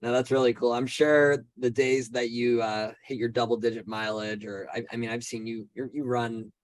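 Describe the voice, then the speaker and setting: A man's voice: mid-range voice, little pitch movement, moderate pace, dry recording. Upbeat male podcast host, dry sound. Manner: friendly, upbeat host with repeated words. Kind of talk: podcast interview.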